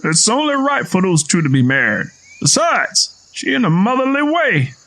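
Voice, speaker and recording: A man's voice: high voice, very animated, normal speed, a bit bright, voice pushed up, full character voice. A man voicing a wary rural Southern father in an audio story, folksy and defensive as he talks. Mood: uneasy, firm. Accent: American South.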